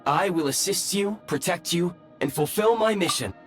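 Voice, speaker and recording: Awakened robot companion, video game, formal, earnest, fairly high voice, clear background music. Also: male voice, expressive. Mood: polite, dutiful. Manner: formal, precise phrasing with halting self-corrections. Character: earnest newly awakened ancient robot guide, formal and dutiful. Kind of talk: scripted game voice acting.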